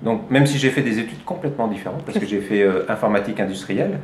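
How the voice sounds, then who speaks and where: A man's voice: on the low side, expressive, quick pace, roomy sound, slight background noise. A middle-aged man from France, a life coach telling his story in a chatty interview with frequent hesitations. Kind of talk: conversational interview.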